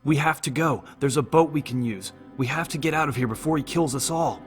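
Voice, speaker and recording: A frantic older man from a horror audiobook, acted out by a male narrator, pitched high and loud over music. He babbles and gasps, hysterical and desperate for help. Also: brisk, natural voice.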